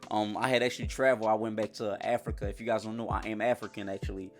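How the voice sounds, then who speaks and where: Energetic slangy young man from Congo. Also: lowish voice, rapid-fire, soft background music. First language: French. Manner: energetic, slangy, addresses viewers directly. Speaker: YouTuber. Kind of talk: casual motivational vlog talk.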